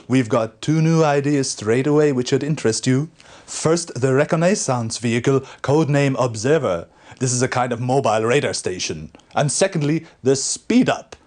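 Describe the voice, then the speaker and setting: An eager military research professor from a video game, played by a man. His voice is on the higher side for a male. He presents like a courteous scientist, polite and explanatory. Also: darkish tone, natural voice. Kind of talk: acted video game briefing.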